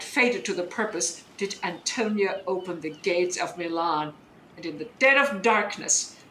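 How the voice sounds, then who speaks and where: A woman's voice: mid-range voice, a bit bright, natural voice. A woman playing a bitter, scholarly deposed duchess and sorceress mother in Shakespearean audio drama, speaking in a declamatory, emphatic way that demands attention. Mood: indignant, grave. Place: indoor room, recorded over internet link. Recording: roomy remote recording, noise.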